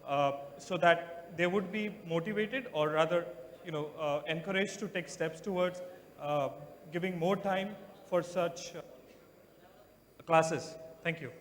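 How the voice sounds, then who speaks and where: A man's voice: a little high, measured pace, fairly bright tone. Hesitant man asking a question in a hall. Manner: hesitant, many fillers, polite. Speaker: audience member, education program organizer. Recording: reverberant hall, faint noise.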